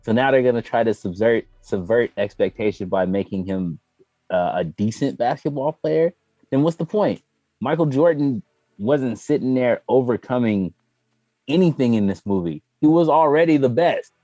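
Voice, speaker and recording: A man co-hosting a casual podcast, bantering in animated, exclamatory slang and acting out reactions. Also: mid-range voice, moderate pace, no echo, dull audio, soft background music. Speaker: podcast co-host. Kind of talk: casual banter about movies and sports.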